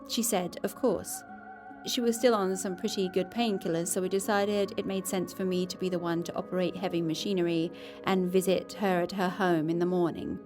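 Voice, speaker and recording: A woman's voice: lowish voice, steady tone, moderate pace, over music, natural voice. A woman playing a curious, candid podcast reporter in an audio drama, conversational and dryly observant. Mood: matter-of-fact, wry. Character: curious, candid female podcast reporter narrating her investigation. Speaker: reporter and narrator. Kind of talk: scripted first-person podcast narration.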